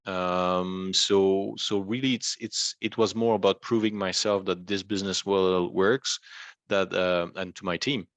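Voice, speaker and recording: Low-voiced man, close and dry. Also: level tone, measured pace, no echo. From Belgium. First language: not English. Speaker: interview guest, rank and rent website entrepreneur. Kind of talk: explanatory conversational talk.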